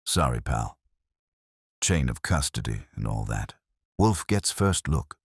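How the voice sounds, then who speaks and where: A man's voice: extremely low pitch, sing-song, takes it slowly, creaky, breathy edge, no echo, clean studio sound, exaggerated voice. A man voicing a wisecracking tough detective's assistant who narrates a hardboiled audiobook mystery. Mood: cocky.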